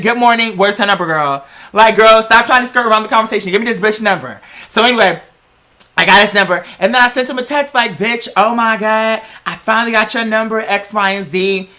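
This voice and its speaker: A man telling personal stories as a host in a casual, sassy, dramatic way, with animated emphasis and lots of slang and swearing. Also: high-pitched. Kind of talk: casual chat, personal storytelling.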